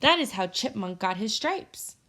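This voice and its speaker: A woman with a medium-pitched voice reads a storybook aloud for children. She is expressive and speaks as a teacher does to her pupils. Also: a little slow.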